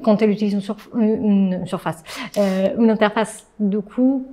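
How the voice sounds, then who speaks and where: Italian-born woman for a panel talk, native Italian, lively and anecdotal, mid-range voice. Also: mid-range voice, moderate pace, slight background noise. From Italy. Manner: animated, storytelling with asides. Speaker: content designer, panelist. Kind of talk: casual panel discussion.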